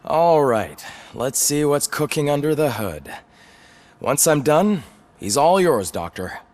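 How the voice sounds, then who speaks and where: An actor playing an easygoing technician in a video game, speaking in a familiar, folksy, unhurried way. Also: male voice, on the high side, lively intonation, a little mellow. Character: easygoing male technician tending a cyborg. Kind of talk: scripted game dialogue.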